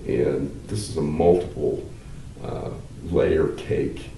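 An older man, a law professor, explaining at length in a measured, halting way with many fillers, seated in a wood-paneled room. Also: a bass voice, steady tone, creaky, mellow. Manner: gestures with hands while talking. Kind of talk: explanatory interview answers. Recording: roomy, slightly distant, faint hiss.

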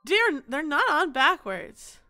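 A young woman streaming casually, with a stuffy nose from allergies, loud and prone to sudden screaming. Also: high voice, sing-song, slow pace, faint music behind. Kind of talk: casual livestream chat.

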